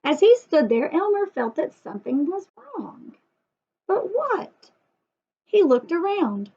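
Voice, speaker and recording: A woman reading a story aloud to young children in an expressive, sing-song way, adding chatty asides and questions to the listeners. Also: extremely high pitch, slow, muffled recording.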